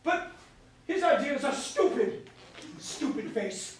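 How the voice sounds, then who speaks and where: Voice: high-pitched, sing-song, takes it slowly, heavily acted. An actor playing a cantankerous, stubborn old scholar who blusters theatrically in a staged verse comedy reading. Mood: stubborn, irritated. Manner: blustering, theatrical, exclaiming insults. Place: live staged reading in a hall. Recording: roomy echo, distant mic, faint noise.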